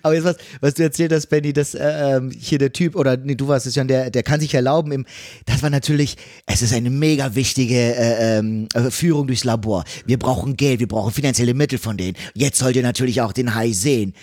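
A man's voice: mid-range voice, steady tone, brisk, darkish tone, dry recording. Male podcast co-host, mid-range voice, dry sound. Explains casually and throws in rhetorical questions. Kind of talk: casual film discussion.

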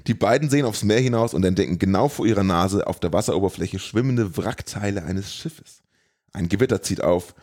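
A man with a fairly low voice hosts a podcast. He reads a scripted film retelling in a wry, tongue-in-cheek way. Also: a little mellow. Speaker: podcast host. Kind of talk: scripted film recap narration.

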